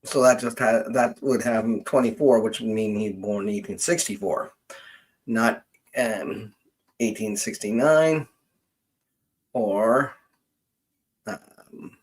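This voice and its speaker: A man with a fairly low voice talks very slowly and casually, like a host thinking aloud on a live stream. He is hesitant and halting, often leaving sentences hanging as he works through his explanation. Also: slightly rough. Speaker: genealogist hosting a live question session.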